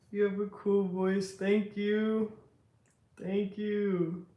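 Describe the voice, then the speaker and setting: A young woman with a deep, strong voice talks slowly, taking her time between thoughts. She speaks with calm self-assurance on a casual live stream. Her voice bounces around an echoey room. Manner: unhurried, reflective, self-assured.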